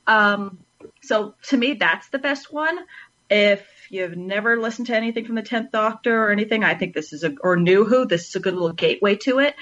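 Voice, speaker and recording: A woman with a mid-pitched voice chats on a panel podcast. She is animated and rambles, breaking into her own sentences, and the audio is somewhat dull. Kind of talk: casual chat.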